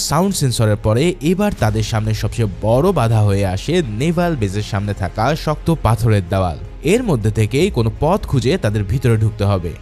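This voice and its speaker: A man's voice: mid-range voice, a little mellow. A man narrating a movie recap from a script in a casual, dramatic storytelling way, addressing viewers informally. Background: background music.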